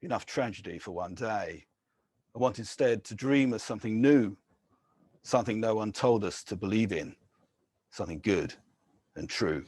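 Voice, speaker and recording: A man, a poet, reading his own poems in a measured, deliberate way, with short casual introductions between them. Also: on the low side, darkish tone, slightly rough. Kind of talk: poetry reading with spoken introductions.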